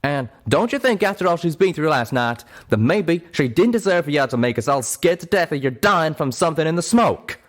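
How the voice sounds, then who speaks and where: A man voicing a gruff, protective male pegasus with a drawl, blunt and scolding. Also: mid-range voice, very animated, fairly quick, fairly bright tone, pitched above the performer's own voice. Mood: angry scolding.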